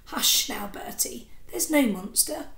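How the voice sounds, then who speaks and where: Woman as a gentle, soothing storybook mother, roomy sound. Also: a little high, expressive, slow, creaky, slight background noise, heavily acted. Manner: hushed, soothing. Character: gentle, reassuring mother soothing her young son.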